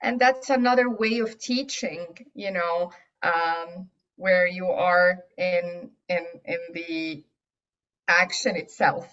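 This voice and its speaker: Woman, first language Arabic, from Egypt, unhurried halting speech, muffled lo-fi sound. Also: lowish voice, fairly even intonation, a bit bright. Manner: hesitant, many fillers and restarts. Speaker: guest aromatherapy teacher. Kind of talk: casual interview answers. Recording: dull lo-fi audio.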